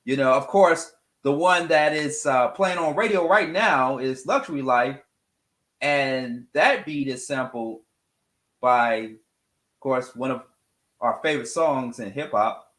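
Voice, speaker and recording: A man's voice: mid-range voice, slow pace, fairly bright tone, echoey room. A man hosting a morning show, talking casually about music and repeating phrases for emphasis. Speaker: radio morning show host.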